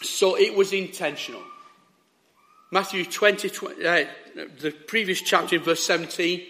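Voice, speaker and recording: Male preacher, sore-throated and hoarse, bright edgy tone, emphatic delivery. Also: high-pitched, moderate pace. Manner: emphatic, checks in with the listeners. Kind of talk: sermon.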